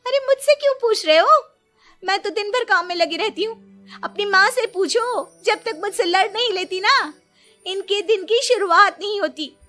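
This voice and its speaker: A woman's voice: very high-pitched, normal speed, sharp tone, soft background music. A woman voicing a quarrelsome young cartoon wife who is indignant, whining and easily offended. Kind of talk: cartoon dialogue.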